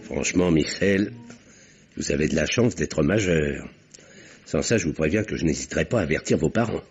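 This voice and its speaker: An actor playing a gruff, authoritative country doctor in an old French radio drama. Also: male voice, a bass voice, animated, moderate pace, soft background music, exaggerated voice. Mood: stern, irritated. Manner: authoritative, scolding. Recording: dull vintage radio recording.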